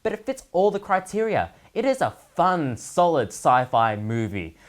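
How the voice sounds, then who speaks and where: A male host delivers scripted comedic commentary in a very animated voice. His pitch rises and falls widely through each sentence. He is sardonic throughout, setting up and landing punchlines with mock seriousness. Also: measured pace. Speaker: host of a gaming news segment.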